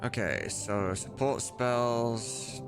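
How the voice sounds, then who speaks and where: A man's voice: medium pitch, animated, drawn-out words. A man streaming casually as he works, thinking aloud in an informal, sweary way. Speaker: streamer and mod voice director. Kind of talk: casual livestream chat while working. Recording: music behind the voice. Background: music.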